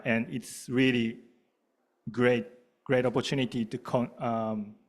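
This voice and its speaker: A man with a Japanese accent casually moderating a panel in a halting, hesitant way. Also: mid-range voice. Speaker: panel moderator, event co-lead. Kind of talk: casual panel hosting before an audience.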